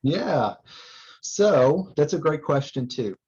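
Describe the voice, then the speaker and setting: A man's voice: a little high, expressive, slow, a little mellow, breathy edge. A man from Texas, a safety instructor, explaining in earnest on a podcast with many fillers and restarts. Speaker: guest, life support and fire safety instructor. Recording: dull lo-fi sound.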